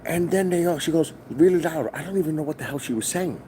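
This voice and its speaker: A middle-aged man from New with a loud voice, telling a rambling, profane story on a casual stream from his hotel. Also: fairly high pitch, animated, quick pace, slight background noise. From New York. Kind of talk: casual live-stream vlog. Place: hotel, indoors.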